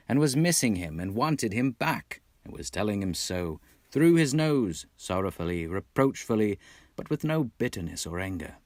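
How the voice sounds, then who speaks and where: A man from Texas reading a storybook in a dramatic, measured way. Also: fairly low pitch, darkish tone, no echo, clean studio sound. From Texas, United States. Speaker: amateur audiobook narrator. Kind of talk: expressive scripted storybook narration.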